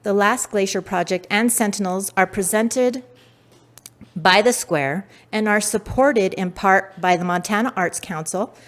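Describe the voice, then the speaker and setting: A woman's voice: mid-range voice, a little slow. A woman moderating a museum panel, reading a formal list of thanks with small slips. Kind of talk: reading acknowledgments.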